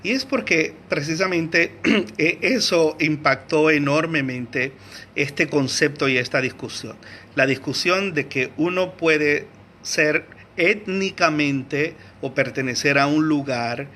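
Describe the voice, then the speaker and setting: A man from Venezuela giving an unscripted history lecture, hesitant, with many fillers and restarts, searching for words and often clearing his throat. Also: fairly high pitch, slow pace, sharp tone, a little hiss or hum. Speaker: teacher.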